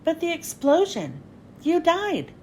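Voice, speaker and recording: A woman voicing an excitable, determined boy hero in an urgent, exclaiming storybook read-aloud. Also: very high-pitched, slow pace, slight background noise, pitched above the performer's own voice, full character voice. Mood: confused.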